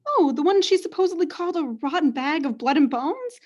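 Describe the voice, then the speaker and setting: Young woman acting a doting mother, very high voice, coy, self-satisfied, theatrical, radio play. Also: big pitch swings, a little fast, a little mellow, highs cut off. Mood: coy, probing.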